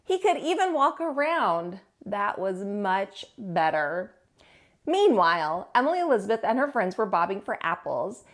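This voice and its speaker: A woman hosting storytime, reading aloud to young children with an expressive, teacherly delivery. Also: medium pitch, unhurried.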